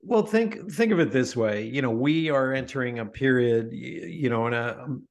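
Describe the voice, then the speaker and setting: A middle-aged man talking as a guest in a casual interview, emphatic and animated, often restarting his sentences. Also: mid-range voice, normal speed, gravelly. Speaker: podcast guest, author.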